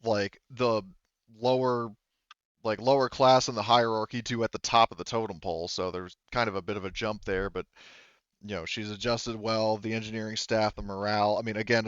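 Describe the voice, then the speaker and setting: A man's voice: fairly low pitch, clear, resonant tone, dry recording, dull audio. A man acting as game master, narrating a tabletop roleplaying game in an informal, conversational way. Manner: conversational, informal, occasionally swearing.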